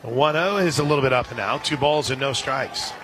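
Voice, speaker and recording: A man doing live radio play-by-play of a softball game, slipping conversational asides in between pitch calls. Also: brisk. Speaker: play-by-play announcer. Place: outdoor softball stadium broadcast booth. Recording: loud crowd and outdoor stadium noise. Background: crowd noise.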